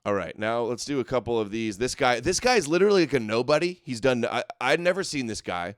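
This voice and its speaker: A man's voice: mid-range voice, expressive, brisk, dry recording, studio quality. A male host giving sarcastic, scoffing commentary over video clips. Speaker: show host.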